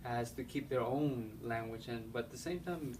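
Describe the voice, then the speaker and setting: A man's voice: medium pitch, moderate pace, a little mellow. A young man whose first language is Spanish, speaking English with a Spanish accent, answering interview questions hesitantly with many fillers and restarts. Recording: distant mic, faint background noise.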